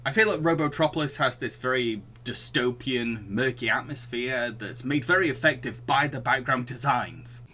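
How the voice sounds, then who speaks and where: A man co-hosting a podcast, talking through films in a casual, analytical and somewhat halting way. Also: mid-range voice, sharp tone, slight background noise. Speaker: podcast host. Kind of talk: casual film discussion.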